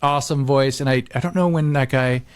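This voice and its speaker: A man chatting casually on a podcast in an excitable, emphatic way. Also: mid-range voice, fairly quick, smooth, no room sound, clean studio sound. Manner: excitable, emphatic, repeats phrases.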